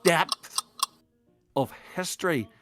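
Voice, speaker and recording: A man's voice: a little high, lively intonation, takes it slowly. A man with a Northern Irish accent chatting as a guest, blunt and dry, scolding with mock indignation, a bit tipsy from whiskey. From Northern Ireland. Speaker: guest on a call-in stream. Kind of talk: casual chat.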